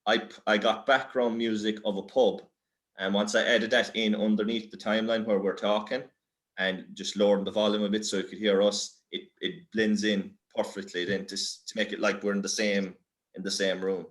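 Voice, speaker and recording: Low-voiced, halting Irish man presenting. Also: flat delivery. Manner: halting, self-deprecating. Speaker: workshop presenter. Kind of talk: informal tutorial explanation.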